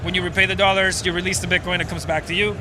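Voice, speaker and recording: A man's voice: sits high, little pitch movement, rapid-fire, over music. A man from Venezuela, a startup co-founder, explaining at length in a casual interview with many fillers. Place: outdoors at a busy conference.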